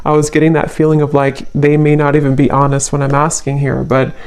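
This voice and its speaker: A tarot reader talking casually and intuitively to viewers, thinking aloud in a halting way and repeating phrases. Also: medium pitch, completely flat delivery, a little mellow, clean tone.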